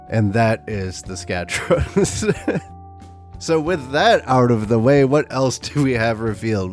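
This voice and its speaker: A man hosting a tabletop gaming video, his voice a little rough from recent illness, with sarcastic, exasperated commentary and dry jokes. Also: lowish voice. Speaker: tabletop wargaming YouTuber. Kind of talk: casual commentary to camera.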